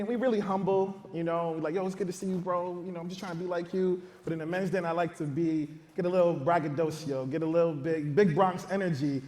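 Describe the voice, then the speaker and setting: A man's voice: a little high, echoey room, big-room sound. A man hosting a live panel and hyping up each guest as he introduces them. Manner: hype, energetic introductions. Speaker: podcast host and panel moderator.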